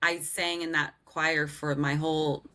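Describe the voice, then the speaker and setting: A woman in her thirties from Southern California, a singer guest chatting casually in an interview, animated and laughing often, with a loud, strong voice. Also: low-pitched, unhurried.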